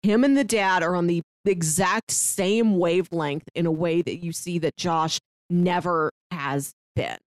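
A woman's voice: on the low side, steady tone, measured pace, hardly any pauses, dry recording, studio quality. A woman in her early thirties co-hosting a casual film discussion, retelling scenes conversationally with bits of quoted dialogue. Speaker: podcast co-host.

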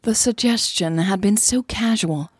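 Husky-voiced woman narrating a story. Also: mid-range voice, a little slow, darkish tone, no room sound, clean studio sound. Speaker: narrator of an audio drama series.

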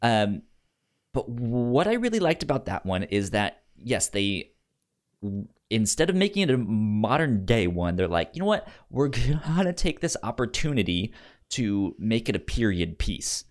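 A man chats casually as a podcast co-host, with pitch that moves around a lot. He thinks aloud in a halting way, doubling back on words before finishing a point. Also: very animated. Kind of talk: casual unscripted chat about a TV show.

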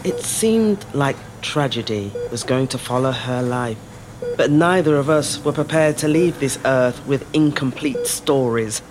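An actress playing a reflective middle-aged woman born in Zimbabwe, telling her family's story in a measured, lyrical scripted monologue. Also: rumbling low, lively intonation, background noise. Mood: solemn. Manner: measured, lyrical, deliberate phrasing. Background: music.

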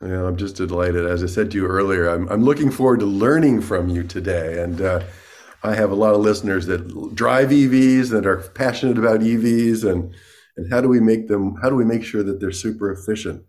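A man's voice: on the low side, fairly quick, a little mellow. A man hosting a podcast, chatty and enthusiastic, often repeating words as he asks questions. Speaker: podcast host. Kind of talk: casual interview talk.

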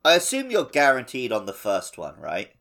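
A man's voice: mid-range voice, wildly swooping pitch, normal speed, pushed and bright. A man co-hosting a casual gaming podcast, chatting in a wry, opinionated way. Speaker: podcast co-host.

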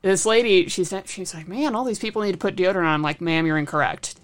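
A woman's voice: on the low side, fast. A woman co-hosting a casual podcast chat, telling stories and acting out what people said. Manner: chatty storyteller who acts out quoted remarks. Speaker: podcast co-host.

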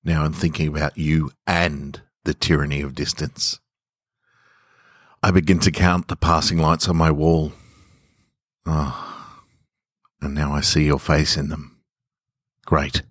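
A man voicing a lonely, sleepless lover in a brooding, measured first-person story reading. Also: very deep voice, little pitch movement, a bit bright, dry recording, studio quality, full character voice. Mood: resigned, wistful. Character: lonely, sleepless lover lying in a hotel bed.

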